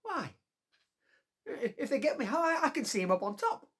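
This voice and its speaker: Woman playing husky, high-voiced Northern English young miner. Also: sing-song, moderate pace, full character voice. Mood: fearful, sardonic. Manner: blunt, bitterly wry. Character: young male miner, sardonic, sweary, anxious and trapped. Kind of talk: radio drama acting.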